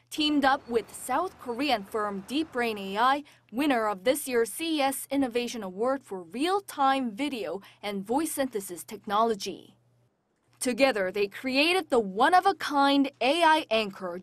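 A woman narrating a news feature in a crisp, measured broadcast read. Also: fairly high pitch, no echo. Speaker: reporter narrator.

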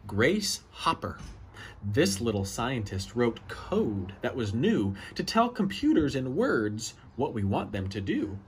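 Animated man reading to children. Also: medium pitch, slow pace, slight background noise. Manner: expressive, encouraging, exclaims and gasps between pages. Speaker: children's book reader, host. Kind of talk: read-aloud of a children's picture book. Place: outdoor porch of a house.

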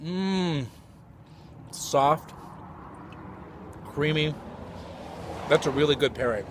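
A man in his forties hosting a casual winery interview and tasting on an outdoor patio, upbeat and quick to say fantastic. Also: a little high, a little hiss or hum. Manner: upbeat, says fantastic a lot. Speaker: wine blog host.

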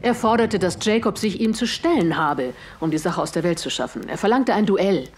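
A husky, grieving widow. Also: female voice, fairly low pitch, animated, normal speed. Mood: sorrowful, earnest. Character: grieving widow of a murdered man, earnest and composed. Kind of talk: German audio drama acting.

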